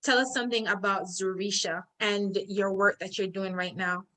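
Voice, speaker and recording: A woman's voice: medium pitch, fairly even intonation, moderate pace, bright, forceful tone, poor audio. A Jamaican woman hosting a casual interview, affirming her guest with frequent murmurs of agreement. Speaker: podcast host.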